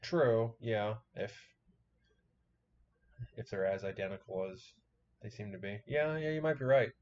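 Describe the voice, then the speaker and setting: A man's voice: fairly low pitch, a little slow, a little mellow, muffled recording, a little hiss or hum. A man giving casual, profane reaction commentary in a blunt, joking, rambling manner.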